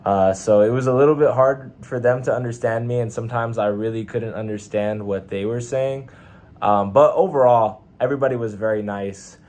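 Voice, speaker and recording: A man's voice: mid-range voice, fairly even intonation, moderate pace, a little mellow. A young man with a Texan accent, chatting casually to his camera from a hotel room, laid-back and rambling with slang and fillers. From Texas, USA. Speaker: vlogger, Navy sailor. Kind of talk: casual vlog monologue.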